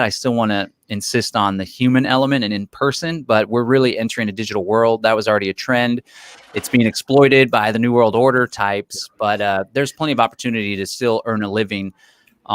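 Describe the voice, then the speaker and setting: Man, low pitch, dry sound, opinionated interview host, explanatory. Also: dry recording. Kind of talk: live-stream interview chat.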